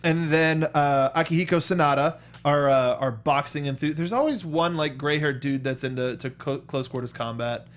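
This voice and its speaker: A man's voice: a little high, normal speed, few pauses. A man co-hosting a casual film review chat, acting out reactions in quoted asides. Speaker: co-host of a film review show.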